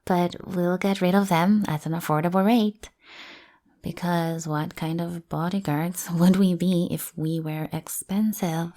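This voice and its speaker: A woman's voice: on the low side, fairly even intonation, measured pace, mellow. A woman playing a devoted, lovestruck bodyguard in an audio roleplay, earnest and awkward, often hastily correcting herself. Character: devoted, flustered young female bodyguard, hopelessly lovestruck and clingy. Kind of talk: acted audio roleplay addressed to listener.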